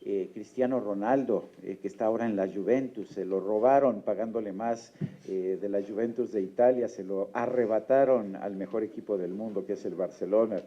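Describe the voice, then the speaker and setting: A man's voice: lowish voice, normal speed, hardly any pauses, lo-fi sound. A man moderating a public presentation, speaking in a rhetorical way with many fillers.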